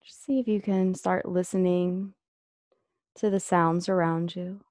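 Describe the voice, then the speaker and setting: A woman leading a guided meditation as an instructor, speaking gently and unhurriedly with long pauses. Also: fairly low pitch, fairly even intonation, dry recording, studio quality.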